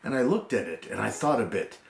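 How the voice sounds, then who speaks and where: A man reciting a narrative poem theatrically, in measured phrases with dramatic pauses. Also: lowish voice, expressive, creaky. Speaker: poem reader. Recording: echoey room recording.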